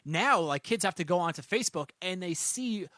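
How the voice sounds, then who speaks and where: A man in his late twenties co-hosting a podcast, chatting in a relaxed, agreeable way. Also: high-pitched, moderate pace, dry recording, clean studio sound. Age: 20s. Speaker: podcast co-host. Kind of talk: casual podcast interview.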